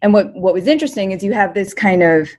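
A woman's voice: medium pitch, expressive, quick pace, darkish tone. A woman from Connecticut, a researcher talking as a podcast guest in a chatty, self-amused way from a hotel room over a video call. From Connecticut, United States. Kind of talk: casual interview talk.

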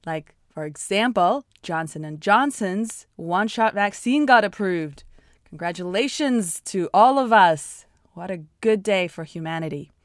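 A woman's voice: medium pitch, lively intonation, unhurried, no echo, clean studio sound. A woman hosting an interview podcast, introducing the episode and asking curious, upbeat follow-up questions. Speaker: podcast host. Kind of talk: conversational interview.

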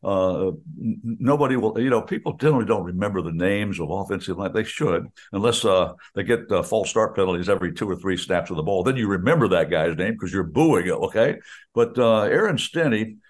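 A man's voice: sits low, expressive, a little fast. An older man, a radio play-by-play announcer, chatting about football in a folksy, rambling way with many restarts. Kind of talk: rambling radio sports chat.

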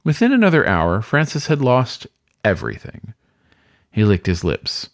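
A man reading a story aloud as scripted audiobook narration, in an expressive storytelling manner. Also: medium pitch, moderate pace, dry recording, studio quality. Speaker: story reader.

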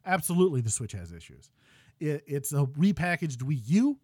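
A man in his thirties hosting a gaming podcast, ranting loudly with exasperation while losing his voice. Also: a little high, big pitch swings, moderate pace, breathy edge, no room sound, studio quality. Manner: loud exasperated ranting, swings to quiet muttering. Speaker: podcast host.

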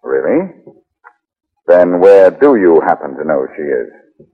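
An actor playing a glib, wisecracking, flirtatious private detective in 1940s radio style. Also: male voice, deep voice, sing-song, slow pace, breathy edge, heavily acted. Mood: breezy banter. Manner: glib, teasing banter. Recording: muffled, lo-fi 1940s radio recording.

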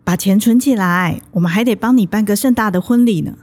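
A woman's voice: mid-range voice, brisk, a little mellow, close to an everyday voice. An actress with a Taiwanese Mandarin accent playing a doting, traditional older mother in a radio drama, coaxing and chatty.

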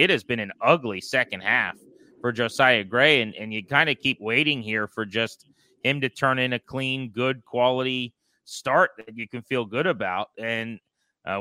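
Bright, crisp American male sports host. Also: medium pitch, no echo. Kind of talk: conversational sports talk.

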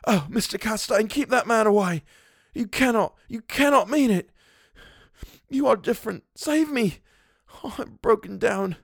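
A man voicing a frantic, broken man who begs for mercy in a high, wailing voice. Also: fairly even intonation, normal speed, few pauses, no room sound, clean studio sound. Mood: terrified pleading. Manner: wailing, pleading, near hysterical.

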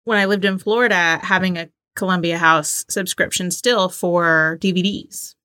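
A woman's voice: on the low side, fairly even intonation, normal speed, pushed and bright, smooth, no room sound. A woman in her thirties co-hosting a podcast in casual, conversational chat. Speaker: podcast co-host and trivia game player.

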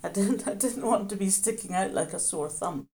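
An older woman, gently reminiscing in conversation and often restarting her sentences. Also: mid-range voice, moderate pace. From Scotland. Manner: gentle, reflective, with frequent restarts. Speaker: interviewee, mother.

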